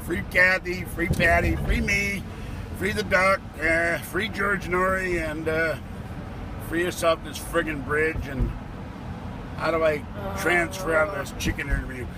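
A man's voice: a little high, fairly even intonation, a little slow. A man hosting a casual vlog from inside a car, talking in a rambling, joking way and laughing often. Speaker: vlog host. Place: inside a car on a traffic-jammed bridge. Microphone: phone camera held in the car. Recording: loud road noise from traffic.

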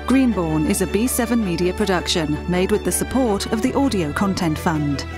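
A woman's voice: mid-range voice, expressive, normal speed. A woman reading scripted closing credits as a radio drama announcer. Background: music.

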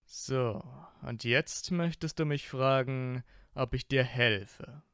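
A man's voice: medium pitch, slow, a bit bright, clean tone, no echo, clean studio sound. A man playing a teasing, self-assured teenage wizard student in a fan audio drama. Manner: teasing, probing.

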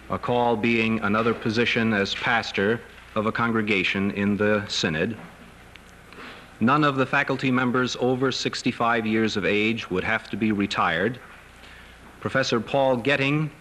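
Low-voiced American man, noisy old recording. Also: a bit bright. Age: middle-aged. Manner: measured, formal. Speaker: clergyman, seminary head speaking to press. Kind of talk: formal press statement and answers. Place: wood-paneled press conference room. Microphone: table microphones. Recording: noisy 1970s newsreel film sound.

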